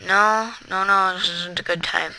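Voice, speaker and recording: Gravelly, bright-voiced chatty game commentator. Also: medium pitch, unhurried, a little hiss or hum, poor audio. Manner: rambling, chatty, talks to viewers; excitable outbursts during play. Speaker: Let's Play gamer. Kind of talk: casual gameplay commentary.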